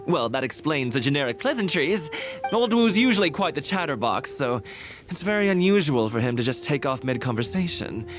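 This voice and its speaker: An actor playing a talkative, shrewd and neighborly merchant in a video game, speaking in a folksy, earnest way. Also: male voice, fairly high pitch, big pitch swings, brisk, over music. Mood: puzzled, chatty. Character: chatty, shrewd, neighborly male merchant shopkeeper.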